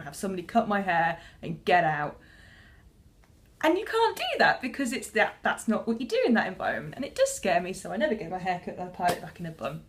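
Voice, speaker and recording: A young woman, a librarian talking casually to camera in a chatty, self-deprecating way with frequent restarts. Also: mid-range voice.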